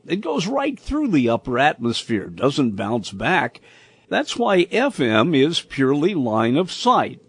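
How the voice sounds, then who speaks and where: An older American man from Indiana narrating a scripted personal reminiscence as host, in a measured storyteller's way. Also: mid-range voice, no echo. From Indiana, United States.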